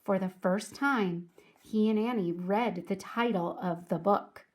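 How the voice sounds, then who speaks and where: A woman reading aloud to children like a teacher, speaking clearly and deliberately in a storybook narration style. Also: medium pitch. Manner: clear, deliberate, addresses young listeners.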